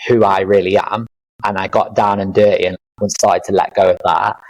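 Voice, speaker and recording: A man in his thirties speaks in a low, deep register. He talks through his experiences in an earnest, reflective way, with plenty of fillers and restarts. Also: level tone, moderate pace, low-quality recording. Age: 30s. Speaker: podcast guest, life coach. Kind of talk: casual interview chat.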